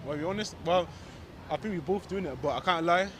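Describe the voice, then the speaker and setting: A man talks fast and rambles through a casual street interview, tacking "innit" onto his sentences. His voice sits a little high for a man. A faint hum of the city runs behind him outdoors. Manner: rambling, tags lines with "innit".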